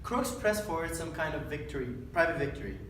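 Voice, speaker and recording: A man's voice: fairly high pitch, animated, brisk. A young man reading scripted story narration aloud in a readers' theatre. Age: young adult. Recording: very echoey room, distant mic, faint noise.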